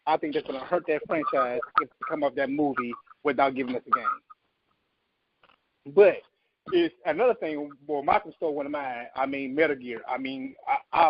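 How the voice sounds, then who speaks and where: A man with a creaky voice giving emphatic opinions in a casual panel chat. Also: fairly high pitch, no echo. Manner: emphatic, insistent, argues with examples. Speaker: podcast panelist. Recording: slightly dull, lo-fi audio.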